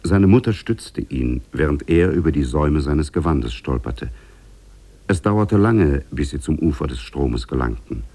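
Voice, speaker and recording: Really deep croaky storyteller guy, 50s radio fairy tale, husky and deliberate. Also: animated, warm tone, heavily acted. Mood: narrating. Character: male storyteller of an Arabian Nights tale. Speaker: narrator. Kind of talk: scripted storybook narration in a 1950s radio play.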